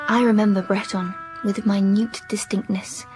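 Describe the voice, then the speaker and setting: A woman playing a poised, wilful young English gentlewoman in a period radio drama, speaking precisely and with self-assurance. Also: medium pitch, flat delivery, normal speed, music in the background, lightly acted, low-quality recording. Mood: earnest.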